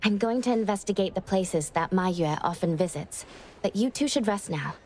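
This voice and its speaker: A woman playing a calm, considerate young investigator in a video game, speaking politely and professionally. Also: medium pitch, normal speed, a little hiss or hum, natural voice. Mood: calm, reassuring.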